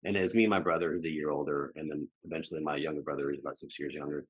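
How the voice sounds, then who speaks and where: A man's voice: very deep voice, steady tone, rapid-fire, slightly rough, no room sound, low-quality recording. An American man speaking reflectively as a podcast guest, often checking in with "right" and "you know". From United States. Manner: frequently ends phrases seeking agreement with "right" and "you know". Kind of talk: reflective personal conversation.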